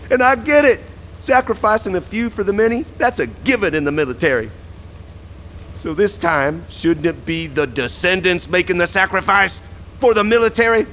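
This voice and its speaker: A man's voice: sits high, a bit bright, slight background noise. A man playing a bitter, grieving soldier in a sci-fi game, with an impassioned delivery.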